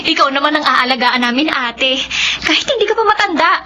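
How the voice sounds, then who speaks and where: Very high, excitable Filipina little sister. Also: female voice, fairly quick, slight background noise. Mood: affectionate. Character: Rhea, affectionate, excitable younger sister. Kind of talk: Filipino radio drama acting.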